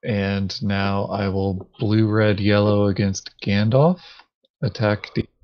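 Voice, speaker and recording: Very slow-talking, low-voiced man at a game. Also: fairly even intonation. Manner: halting, thinking aloud. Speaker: tabletop game player. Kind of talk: casual gameplay chat. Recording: dull lo-fi voice chat audio.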